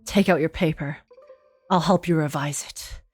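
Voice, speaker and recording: A woman's voice: low voice, moderate pace, soft background music, voice pushed down. A woman voicing a stern, commanding male professor with a curt, imperious manner in a dramatic story read-aloud. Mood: softening, proud.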